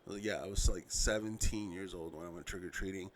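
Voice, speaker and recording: Brief: thirties man, podcast host and dad, lowish voice, loose rambling delivery that keeps restarting sentences. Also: steady tone, brisk, a bit bright, slight background noise. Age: 30s. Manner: rambling, self-correcting, loose storytelling. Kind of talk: casual solo podcast reminiscing.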